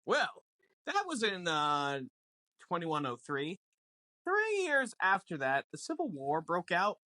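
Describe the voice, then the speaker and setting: A man's voice: sits high, huge pitch swings, slow pace, pushed and bright, no room sound. A man guesting on a podcast, explaining film lore casually with theatrical, mock-exasperated banter.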